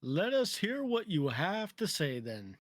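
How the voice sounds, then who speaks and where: A woman voicing a solemn, formal judge in a courtroom video game, measured in manner. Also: fairly low pitch, big pitch swings, noisy background.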